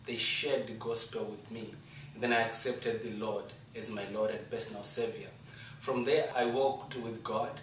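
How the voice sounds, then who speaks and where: A man's voice: fairly low pitch, fairly even intonation, fairly bright tone. Echoey, distant Zimbabwean man giving a testimony. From rural Shurugwi, Zimbabwe. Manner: earnest, emphatic, deliberate rhetorical phrasing. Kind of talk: rehearsed testimony and appeal speech to an audience. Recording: roomy echo, distant mic, faint noise.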